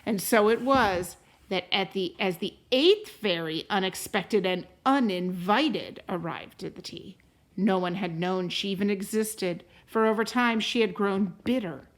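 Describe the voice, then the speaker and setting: A woman reading a storybook aloud to a class as a teacher, in a deliberate, expressive way. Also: mid-range voice, gravelly, poor audio. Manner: deliberate and expressive, pausing to question listeners.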